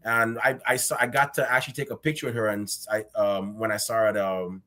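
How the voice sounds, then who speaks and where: A man from Guyana hosting a casual interview, telling stories in an animated way and often saying "man". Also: on the low side, fast. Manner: animated storytelling, lots of "man" and restarts. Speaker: podcast host.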